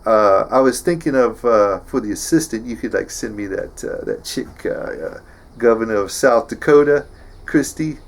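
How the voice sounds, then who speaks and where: A man playing a slow-witted, tough action-movie hero who stammers and mumbles through favours and boasts in a comedy sketch. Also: medium pitch, lightly acted. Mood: dim-witted bragging. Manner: halting, mumbling, stammering braggadocio.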